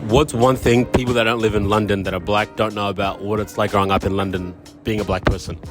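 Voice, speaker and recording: A man's voice: on the low side, fairly even intonation, quick pace, over music. A man hosting an interview, asking short, direct questions. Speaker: interview host.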